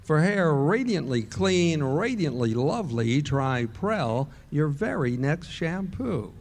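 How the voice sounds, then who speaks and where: A man's voice: on the high side, sing-song, takes it slowly. A man announcing in 1940s radio style, reading scripted ad copy like an emphatic salesman. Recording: live stage show, loud music behind. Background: loud music.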